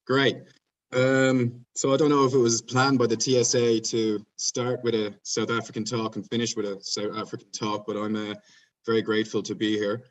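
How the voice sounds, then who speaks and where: A man's voice: mid-range voice, flat delivery, moderate pace. A man with an Irish accent, a PhD researcher giving an informal scientific presentation over a video call. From Ireland. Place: remote online video conference. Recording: distant-sounding video call microphone.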